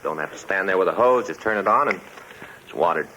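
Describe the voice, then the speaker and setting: Chatty, earnest American cop and homeowner, lowish voice, dull vintage radio sound. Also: male voice, lively intonation, fast, pushed and bright, heavily acted. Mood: eager. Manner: folksy, talkative, earnest. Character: chatty, earnest homeowner policeman, enthusiastic and easily aggrieved. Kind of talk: 1950s radio drama acting, conversational. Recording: muffled old radio recording, faint noise.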